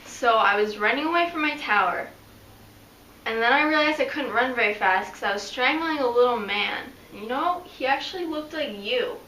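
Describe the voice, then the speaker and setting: A woman's voice: fairly high pitch, lively intonation, moderate pace, bright, forceful tone. A woman playing a sheltered, resentful eighteen-year-old fairytale maiden in an amateur comedy skit, blunt and petulant. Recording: roomy, distant mic, some background noise.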